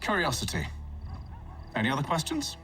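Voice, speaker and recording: Casting: older sardonic Templar master, lowish voice, very animated delivery, game cutscene with music behind. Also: male voice, normal speed, far from the mic, heavily acted. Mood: disdainful. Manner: dry, formal, condescending. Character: haughty older male Templar leader, sardonic and commanding. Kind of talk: video game cutscene acting.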